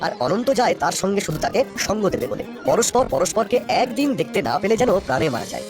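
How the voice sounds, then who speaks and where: A man's voice: high-pitched, sing-song, fast talker. A man telling a scripted fairy tale as the narrator of a cartoon. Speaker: storyteller. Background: music.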